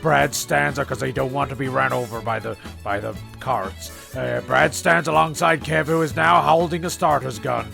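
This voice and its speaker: A man's voice: medium pitch, normal speed, gravelly, few pauses, pushed and bright, faint music behind. A man narrating a comedy radio play in a hesitant, rambling way, often repeating himself.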